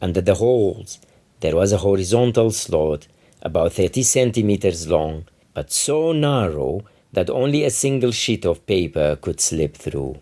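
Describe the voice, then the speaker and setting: Low, mellow male literary reader. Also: animated, normal speed. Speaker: author reading own novel. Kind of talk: literary audiobook narration.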